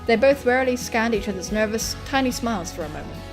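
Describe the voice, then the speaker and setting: A woman with a fairly high voice hosts a visual novel read-through. She moves between narration and playful, irreverent casual commentary, expressively delivered, with game music behind her. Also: lively intonation, fast. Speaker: gaming video host.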